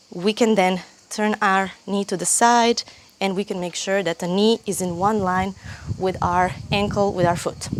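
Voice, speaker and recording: A woman's voice: medium pitch, noisy background. Croatian-accented woman coaching yoga outdoors. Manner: patient, step-by-step cueing with frequent restarts. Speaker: yoga teacher. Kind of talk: yoga tutorial instruction. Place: sunny outdoor stone terrace. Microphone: clip-on lapel mic.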